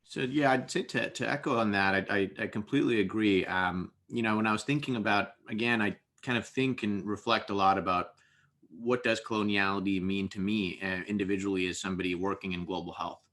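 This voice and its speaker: A man's voice: on the low side, fairly even intonation, brisk, a bit bright. A man in his thirties from India, speaking reflectively on a panel over a video call, often restarting his sentences. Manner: reflective, many restarts and repeated words. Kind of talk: panel discussion.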